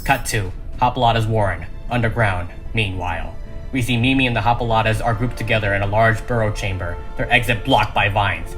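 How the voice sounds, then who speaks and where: A man's voice: lowish voice, fairly quick, fairly bright tone. A man reading a script as dramatic narration, with emphatic, suspenseful delivery. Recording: music behind the voice.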